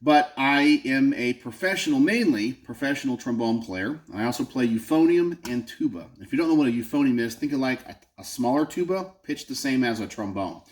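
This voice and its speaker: A man's voice: medium pitch, expressive, fairly quick, hardly any pauses. A man with a nasal voice hosting his channel, talking casually and off the cuff into his phone in a home garage, with frequent ums and asides. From Texas. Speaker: channel host. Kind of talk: casual vlog talk. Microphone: phone camera.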